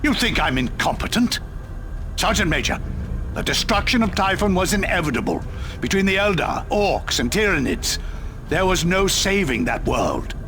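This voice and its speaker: An actor playing a ruthless, calculating military commander in a video game cutscene, cool and measured. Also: male voice, sits high, sing-song, noisy background. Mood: cold. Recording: game cutscene with music behind.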